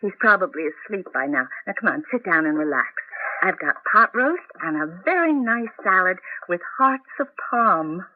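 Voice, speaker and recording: An actress playing a loving, worried wife who feels shut out, in an earnest 1980s radio drama style with halting repeats. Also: female voice, medium pitch, very animated. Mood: affectionate, reassuring. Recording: dull vintage radio broadcast.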